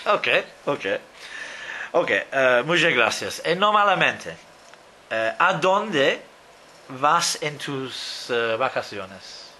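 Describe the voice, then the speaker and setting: Slow, lively-pitched man asking questions. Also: slight background noise. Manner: slow, deliberate questions with many fillers. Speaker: interviewer. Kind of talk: language-practice interview.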